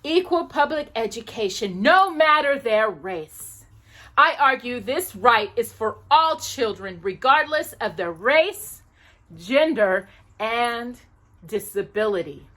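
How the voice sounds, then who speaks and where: Very animated, high-voiced woman reading aloud. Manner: emphatic, dramatic pauses and stresses on key words. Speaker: storytime host reading a children's book aloud. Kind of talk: animated children's read-aloud with asides to a listener.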